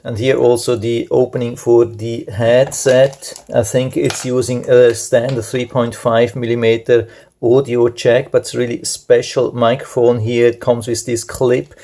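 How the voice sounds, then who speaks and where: A man's voice: medium pitch, vocal fry, mellow. A man giving an unscripted hands-on product demonstration, explaining step by step in a repetitive way. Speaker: product reviewer.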